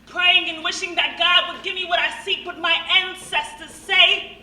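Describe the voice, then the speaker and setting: An echoey recording of a young American woman on stage, heard from far off. Her voice is high and very bright. She recites spoken-word verse earnestly, in dramatic rhythm with deliberate pauses. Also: fairly even intonation. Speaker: monologue performer. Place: stage at a live show. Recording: echoey hall from far off, faint noise.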